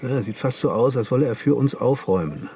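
An actor playing a cynical, quietly menacing intelligence agent in a radio crime drama, dry and insinuating. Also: male voice, mid-range voice, expressive, a little fast, soft background music, lightly acted.

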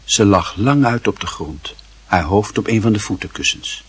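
A man narrating a literary radio play in a measured, formal and wry manner, with a husky voice. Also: lowish voice, animated, fairly bright tone.

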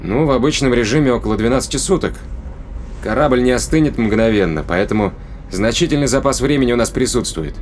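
An actor playing a calm, practical spaceship captain with a wry, casual manner in a science fiction audio drama. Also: male voice, mid-range voice, brisk, slight background noise, lightly acted. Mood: calm, reassuring.

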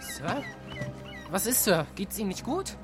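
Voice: mid-range voice, wildly swooping pitch, a little slow. A performer playing a polite newspaper boy in a German audio drama, calling out in a youthful manner. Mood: polite concern. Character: polite newspaper boy hawking the evening paper. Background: music.

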